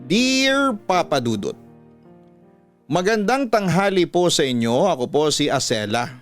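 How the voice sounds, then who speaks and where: A man with a Filipino accent narrating listener letters as a radio host, in a measured, emotive scripted style. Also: mid-range voice, no room sound. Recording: music bed under voice. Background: music.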